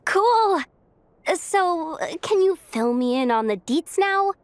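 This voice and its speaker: An eager girl sidekick of a video game, with a high voice that swings widely. She talks in a slow-paced but bouncy, slangy, cheeky way. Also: lively intonation, dry recording, heavily acted. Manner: casual, bouncy. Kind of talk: English video game dub acting.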